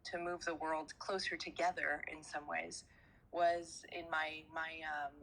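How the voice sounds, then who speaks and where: A woman's voice: fairly low pitch, sharp tone, low-quality recording. A woman guest from France answering interview questions, hesitating often with many fillers and repeated words.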